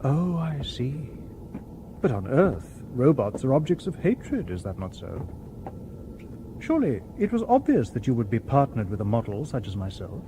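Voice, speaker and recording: An actor playing a calm, formal, unflappable male robot detective with precise speech in a radio drama. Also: mid-range voice, normal speed, background noise, close to an everyday voice. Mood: matter-of-fact.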